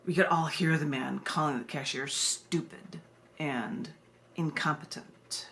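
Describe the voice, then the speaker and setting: A woman's voice: low-pitched, steady tone, a little slow, a bit bright. An American woman hosting a live online poetry reading, sharing poems and casual asides with her viewers. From United States. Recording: noisy live video stream.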